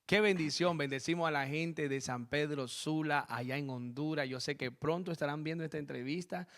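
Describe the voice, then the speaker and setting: A man's voice: fairly high pitch, normal speed. A man who is a pastor hosting a church program, praying and interviewing guests with fervent blessings. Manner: fervent, many amens and blessings. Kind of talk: preaching interview with spoken prayer. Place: church program set in Spain.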